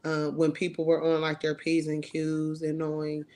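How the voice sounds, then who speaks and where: A woman's voice: deep voice, completely flat delivery, normal speed, darkish tone. A woman from Ohio, a co-director and cast member, answering questions in a thoughtful, hesitant way. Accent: American English, Ohio. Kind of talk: casual interview talk.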